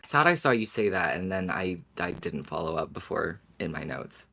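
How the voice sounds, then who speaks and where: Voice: deep voice, very animated, moderate pace, creaky, bright, forceful tone. A podcast co-host chatting casually in a fan discussion.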